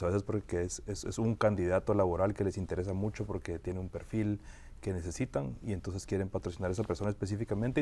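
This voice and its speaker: A man from Guatemala, an immigration lawyer, answering interview questions in a measured way with frequent fillers and restarts. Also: low voice, mellow.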